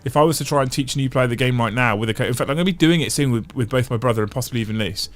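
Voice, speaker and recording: A man's voice: medium pitch, racing, soft background music. A man hosting a casual livestream, chatting and reading out viewer comments, thinking aloud in long runs. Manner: chatty, thinks aloud with restarts and repeated phrases. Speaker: livestream host.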